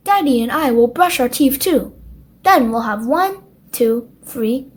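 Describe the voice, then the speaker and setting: A caring mother pig in a children's storybook, read by a woman. Her high voice is gentle and reassuring. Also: lively intonation, measured pace.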